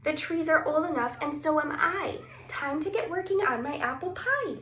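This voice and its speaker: A woman voicing an excited six-year-old girl in a picture-book read-aloud. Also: high-pitched, moderate pace, voice pushed up. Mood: eager, excited. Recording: roomy echo, some background noise.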